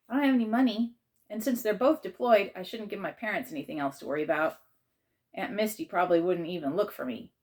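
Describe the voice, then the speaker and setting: A woman narrates a children's storybook in a fairly low register. Her delivery is animated and dramatized, with pitch that moves freely as she reads in a child's first person. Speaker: author and teacher reading aloud.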